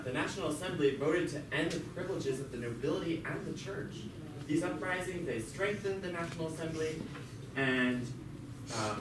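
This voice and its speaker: A man's voice: on the high side, close to an everyday voice. A man playing a talkative jailed revolutionary who narrates his story in an amateur puppet show with sardonic, stumbling asides. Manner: conversational, sardonic asides, stumbling over words. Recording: echoey, very noisy, distant mic.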